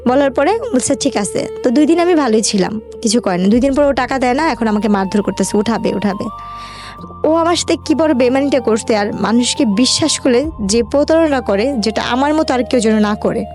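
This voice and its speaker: High-voiced Bangladeshi woman telling her story. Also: brisk, darkish tone, faint music behind. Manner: colloquial, run-on narration with quoted dialogue. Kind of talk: personal storytelling in an interview, recounting conversations.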